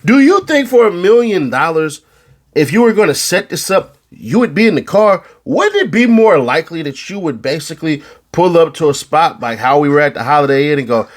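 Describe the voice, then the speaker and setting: A man from California talking in a fairly high voice, loose and slangy as he commentates on a court case. He swears casually and keeps his delivery animated and conversational. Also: a little fast. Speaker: online commentator.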